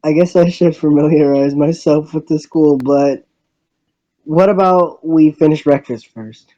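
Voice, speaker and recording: A man doing an amateur dramatic reading of first-person narration and dialogue, halting and stumbling over his lines. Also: on the high side, warm tone, highs cut off. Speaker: reader voicing the protagonist of a fan visual novel.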